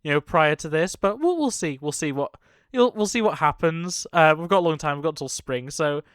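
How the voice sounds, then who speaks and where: A man's voice: a little high, lively intonation, moderate pace, fairly bright tone, clean tone, dry recording, clean studio sound. A young man giving casual, off-the-cuff reaction commentary on a video game trailer, rambling and thinking aloud as he goes.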